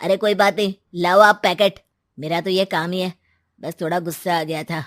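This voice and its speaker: A woman's voice: fairly low pitch, steady tone, normal speed, lightly acted. Woman as an irritable young delivery guy. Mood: placating. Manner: complaining, exasperated. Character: irritable young delivery man in a family drama.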